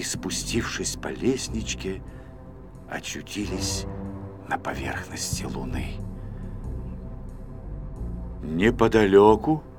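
A man reads a children's adventure tale as a dramatized audiobook. His delivery is expressive and theatrical, with a very lively, swooping intonation. Music plays softly underneath. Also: low voice. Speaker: audiobook narrator. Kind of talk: dramatized children's story narration.

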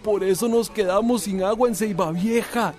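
A man acts out a shaken villager from the countryside in a radio drama. His voice sits fairly high and he talks urgently in homely rural phrases. Soft music plays underneath. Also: moderate pace, lightly acted. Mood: shaken, alarmed. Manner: agitated, urgent, colloquial rural speech. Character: frightened young rural villager bringing alarming news.

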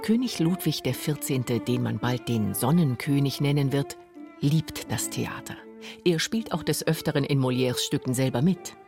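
A male narrator reads a scripted radio feature in a mid-pitched voice with noticeable fry. Faint music plays underneath his documentary delivery. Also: normal speed. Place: radio studio.

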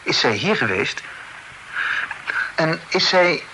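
Polite young suitor, old radio play: mid voice, formal, stammers when flustered, hissy recording. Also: male voice, fairly high pitch, very animated, slow, sharp tone, exaggerated voice, poor audio. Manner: courteous, stammers when moved. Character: earnest young male suitor, courteous and hesitant. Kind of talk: old radio drama acting.

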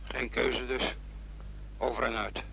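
A man's voice: medium pitch, little pitch movement, slow, vocal fry, sharp tone, a little hiss or hum, heavily acted, rough recording. An actor playing a reluctant policeman who poses as a desperate caller in a Dutch radio play. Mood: reluctant, uneasy. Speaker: police officer.

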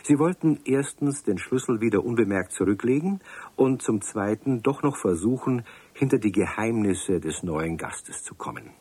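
Man narrating a kids' radio play, husky, lower voice, very lively. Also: normal speed, no echo. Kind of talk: storytelling narration for children.

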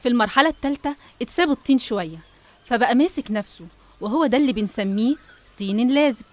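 Fast-talking Egyptian woman narrator. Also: a little high, lively intonation, darkish tone. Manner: didactic, addressing the listener directly. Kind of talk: scripted religious storytelling narration.

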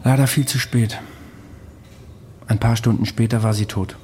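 A man's voice: mid-range voice, fairly even intonation, normal speed, mellow, slight background noise, natural voice. An actor playing a grave, regretful man telling his story intimately in a German radio drama. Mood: regretful, somber. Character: grave, regretful man recounting a past tragedy.

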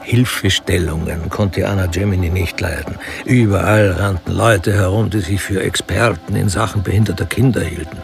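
A man's voice: sits low, fairly even intonation, normal speed, creaky, few pauses, music in the background. A man giving scripted narration in a German radio crime drama.

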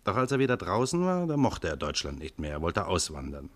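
An actor playing a commanding, matter-of-fact German police commissioner in a 1950s radio crime drama. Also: male voice, deep voice, huge pitch swings, a little fast, fairly bright tone, full character voice. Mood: confiding, grave. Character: authoritative German police commissioner leading a murder inquiry.

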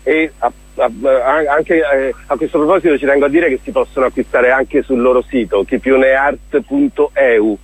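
A man giving a casual radio interview as a guest, speaking in an enthusiastic, expressive way. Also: mid-range voice, moderate pace, breathy edge, no room sound, poor audio. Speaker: interview guest, theatre director.

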